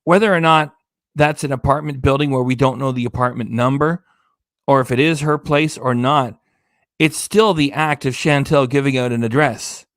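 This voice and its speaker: A man with a somewhat high voice rants sarcastically during a reaction livestream, cursing freely. He sounds tired, and his voice is starting to give out. The recording is dry and close. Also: fairly bright tone, no room sound. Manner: sarcastic, indignant, swears often. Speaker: reaction channel host. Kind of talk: ranting livestream commentary.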